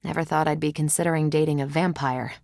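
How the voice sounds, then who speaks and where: Game superheroine: American, confident military-minded space pilot, wry and conversational, lower register, very dry studio sound. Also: female voice, steady tone, fast talker, vocal fry, darkish tone, no room sound. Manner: wry, self-assured, conversational. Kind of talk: video game voice lines.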